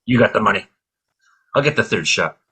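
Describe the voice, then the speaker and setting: A man's voice: lowish voice, lively intonation, brisk, bright, forceful tone, slightly rough. A man hosting a casual radio discussion, opinionated and folksy, speaking colloquially. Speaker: radio show host.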